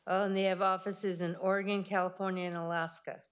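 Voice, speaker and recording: Woman, middle pitch, committee member relaying details from a document. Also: little pitch movement, fairly quick, clean tone. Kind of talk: casual meeting discussion.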